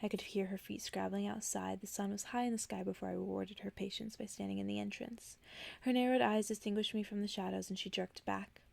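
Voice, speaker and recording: Soft, very creaky whispering woman reading a story. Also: mid-range voice, fairly even intonation, brisk, no echo. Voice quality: soft, breathy, often whispered. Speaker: ASMR narrator.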